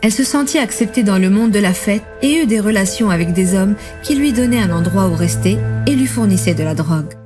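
A woman's voice: medium pitch, lively intonation, fairly quick. A woman reading scripted documentary narration for a testimony film. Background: background music.

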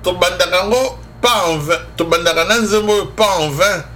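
A man's voice: sits high, normal speed, sharp tone, slight background noise. A Congolese man with a Congolese accent hosting a religious radio show, preaching conversationally and emphatically as he switches between two languages. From Democratic Republic of the Congo. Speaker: religious broadcast host and preacher.

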